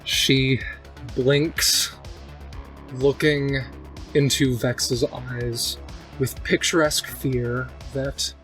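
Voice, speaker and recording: A man's voice: medium pitch, little pitch movement, extremely slow, long pauses, a bit bright. A man playing a tabletop game, narrating a character with earnest, dramatic and sometimes hesitant phrasing. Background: guitar music.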